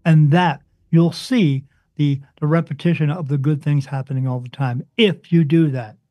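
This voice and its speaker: A man from New Jersey, a spirit medium interviewed as a guest, talking emphatically and insistently, his voice soothing and deep. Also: fairly even intonation, normal speed, dark tone, dry recording, soft background music. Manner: emphatic, insistent, swings up in pitch when stressing points. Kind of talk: interview, impassioned preaching monologue.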